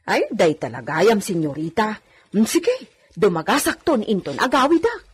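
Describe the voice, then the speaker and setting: A woman's voice: mid-range voice, very animated, moderate pace, husky. A woman playing a humble, deferential village housemaid who is easily flustered, in an Ilocano radio comedy drama. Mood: obliging. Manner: exclamatory, respectful, flustered.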